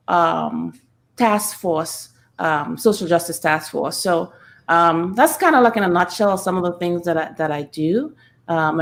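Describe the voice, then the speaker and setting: Middle-aged woman, interview guest. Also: fairly low pitch. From Trinidad. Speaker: podcast guest, speaker and nonprofit founder. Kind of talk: conversational interview.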